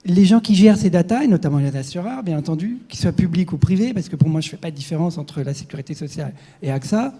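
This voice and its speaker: A man's voice: a little high, animated, fast talker, hardly any pauses, mellow, breathy edge. A man asking a long-winded question from the floor at a conference, hesitant and full of fillers. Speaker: audience questioner. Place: conference amphitheater.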